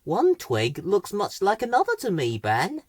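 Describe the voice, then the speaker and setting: A busy female bird from a children's storybook, voiced by a woman, brisk and a little exasperated. Her pitch rises and falls widely. The sound is dry. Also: wildly swooping pitch, a little mellow, husky, no echo, full character voice. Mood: matter-of-fact.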